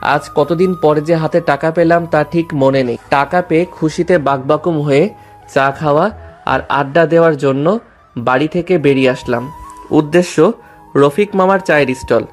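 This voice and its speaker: A man's voice: a little high, normal speed. A man narrating a dramatized story in the first person. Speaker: story narrator and lead character. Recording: music behind the voice.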